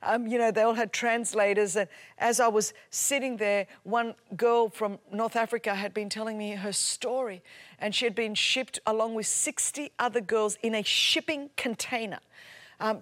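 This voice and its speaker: A woman, a native Greek speaker, preaching and telling a story with mounting passion and emphatic repetition. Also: on the high side. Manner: impassioned storytelling, builds to loud emphatic repetition. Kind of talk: sermon.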